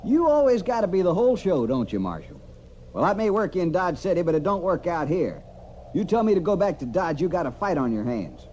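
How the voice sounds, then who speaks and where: High-pitched, belligerent western hothead. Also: male voice, sing-song, a little fast, darkish tone. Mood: defiant. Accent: rural American Western. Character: stubborn, belligerent frontier man leading a rough posse. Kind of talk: old-time radio western acting. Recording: dull, noisy old radio recording.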